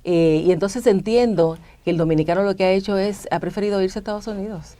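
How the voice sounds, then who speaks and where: A woman's voice: mid-range voice, fairly even intonation, fast talker, warm tone. A woman, a stockbroker answering interview questions, hesitant with many fillers. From Puerto Rico. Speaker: stockbroker guest.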